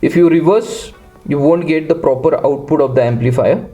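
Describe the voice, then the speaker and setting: Man giving a mellow hands-on tutorial. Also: fairly high pitch, moderate pace, soft background music. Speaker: electronics tutorial host. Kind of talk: unscripted step-by-step hands-on tutorial.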